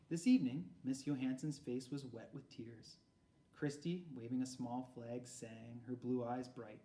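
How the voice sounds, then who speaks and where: A man narrating a storybook aloud in an expressive read-aloud style. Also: medium pitch. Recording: roomy echo, far from mic.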